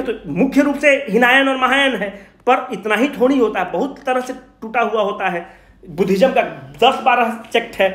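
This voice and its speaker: High-pitched man lecturing in an echoey room. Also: fairly bright tone. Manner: asks questions and answers them himself; emphatic, repeats key points. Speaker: exam coaching teacher. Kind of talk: informal history lecture with rhetorical questions.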